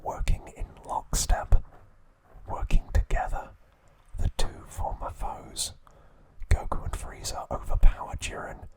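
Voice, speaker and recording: Slow whispering man. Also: poor audio. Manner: soft unvoiced whisper throughout. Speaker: ASMR host. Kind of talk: whispered ASMR recap.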